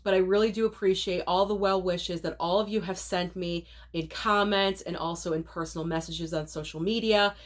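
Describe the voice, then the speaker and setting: A woman's voice: fairly low pitch, fairly even intonation, moderate pace, few pauses. A woman with a cold and a nasal voice, chatting through a casual rundown of upcoming movies for her channel viewers. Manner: chatty, opinionated, direct to viewers. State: sick, nasal voice.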